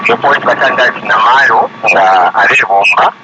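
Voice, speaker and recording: A man's voice: on the low side, a little slow. Zambian man calling in, very noisy line. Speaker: phone-in caller. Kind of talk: casual opinion, switching between languages. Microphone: phone call. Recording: very noisy phone call on radio.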